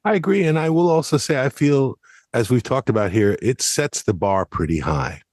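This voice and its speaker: A man co-hosting a podcast, giving thoughtful, measured opinions in a conversational style. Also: medium pitch, lively intonation, darkish tone, no room sound, clean studio sound. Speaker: podcast co-host.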